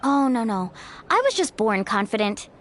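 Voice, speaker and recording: A woman's voice: a little high, sing-song, normal speed, fairly bright tone. A woman voicing a confident, playful, sisterly young female warrior in a video game. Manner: breezy, playful, self-assured. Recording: faint music behind. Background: faint music.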